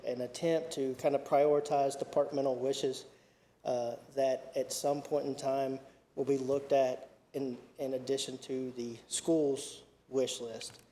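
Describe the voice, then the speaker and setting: A man giving a capital plan report at a county committee meeting, speaking haltingly with many fillers and restarts. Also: a little high, mellow, in a large hall.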